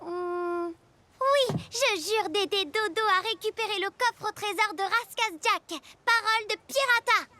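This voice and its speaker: A woman's voice: very high voice, measured pace, bright, forceful tone, heavily acted. A woman voicing a plucky, earnest young girl pirate captain in a children's cartoon. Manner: spirited, earnest, decisive.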